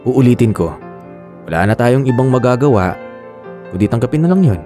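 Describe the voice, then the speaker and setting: An actor with a Filipino accent playing a steady young boyfriend in radio drama dialogue, reassuring and firm but resigned. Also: male voice, mid-range voice, a little fast, over music, close to an everyday voice.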